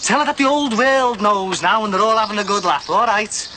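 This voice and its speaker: A man's voice: medium pitch, animated, quick pace, slight background noise. An actor playing a bitter, jealous working-class father in a television drama. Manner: bitter, accusing, rising to shouts.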